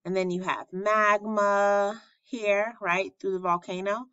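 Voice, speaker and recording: Slow, bright woman reading aloud. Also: medium pitch, fairly even intonation, clear, resonant tone, no echo, lo-fi sound. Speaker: elementary school teacher reading aloud. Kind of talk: children's read-aloud lesson narration.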